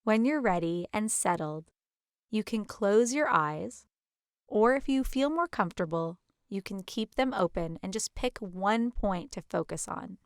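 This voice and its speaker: Smooth, dry-recorded woman guiding meditation. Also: mid-range voice, expressive, no echo. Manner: gentle, soothing, unhurried guidance. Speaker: guided meditation instructor. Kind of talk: guided meditation narration.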